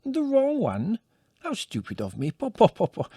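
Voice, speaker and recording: A man voicing an excitable old peasant woman with a shrill, breathless way of pleading, in a dry recording. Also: brisk, vocal fry, husky, no room sound, heavily acted. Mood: surprised, chatty.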